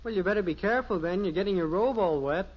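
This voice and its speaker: Literal-minded teen son, high-pitched, persistent, earnest, vintage radio comedy with dull sound. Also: male voice, quick pace. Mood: puzzled, cheeky. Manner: naive, casual, persistent. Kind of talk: 1950s radio sitcom acting. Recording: dull old radio recording.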